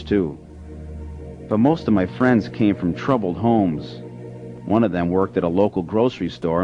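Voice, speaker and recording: A man in a radio drama narrating his own story in a calm, measured way. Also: deep voice, lightly acted. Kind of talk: scripted first-person narration. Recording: lo-fi radio with music under.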